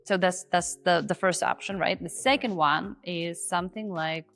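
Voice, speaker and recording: A non-native English-speaking woman coach talks in a lively, casual teaching style. Her voice sits a little low for a woman. Soft music plays faintly under her. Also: normal speed, creaky. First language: not English. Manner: chatty and animated, often acts out example voices. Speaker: confidence coach and host.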